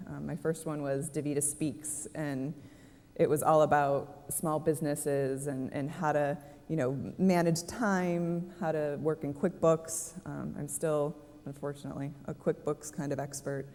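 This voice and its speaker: A woman's voice: sits low, steady tone, a little slow, warm tone. A woman speaking on a conference panel, chatty and self-deprecating. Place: conference stage. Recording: reverberant conference hall.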